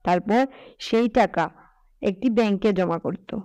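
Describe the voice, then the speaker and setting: A woman's voice: mid-range voice, a little slow, a little mellow, no echo. A woman narrating a scripted children's story.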